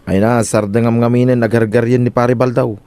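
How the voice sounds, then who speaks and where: A man acting a neighborly village friend in an Ilocano comedy radio drama. He has a medium-pitched voice and an Ilocano accent, matter-of-fact and admonishing. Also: level tone, fairly quick, dark tone, clear, resonant tone, no room sound, lightly acted.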